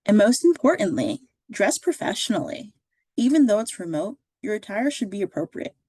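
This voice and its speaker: Woman doing a training video voiceover, clear measured instructive read, medium pitch, really dry close mic. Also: very animated, warm tone, no room sound. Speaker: career services presenter. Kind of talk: scripted instructional narration.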